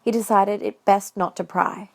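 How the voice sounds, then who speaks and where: A woman's voice: medium pitch, steady tone, normal speed. A woman reading scripted narration for a fan-made audio drama.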